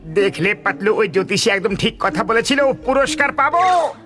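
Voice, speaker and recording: A man playing a naive, eager and helpful bumbler in an animated cartoon dub, speaking with earnest, exclamatory emphasis. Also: sits high, normal speed, faint music behind, exaggerated voice. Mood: cheerfully helpful.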